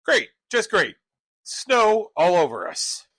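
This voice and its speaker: An actor in a comic radio play playing a reluctant young sidekick who complains in a whiny, sarcastic, exasperated way. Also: male voice, high-pitched, big pitch swings, takes it slowly, a bit bright, no room sound, exaggerated voice.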